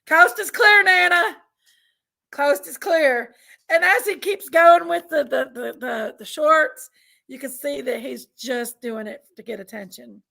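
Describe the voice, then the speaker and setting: Southern woman, raspy gravelly voice, high pitch, slow drawl, congested, livestream chat. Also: sharp tone. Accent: American Southern. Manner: drawling, reads out chat names. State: congested from allergies. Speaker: livestream host. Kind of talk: casual livestream chat with viewers.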